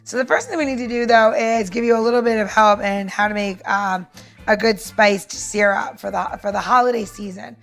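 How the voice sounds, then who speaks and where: A woman's voice: mid-range voice, fairly quick, gravelly, a bit bright, soft background music. A woman co-hosting a podcast, explaining a recipe step by step in an informal, instructive way. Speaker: podcast co-host and cocktail maker.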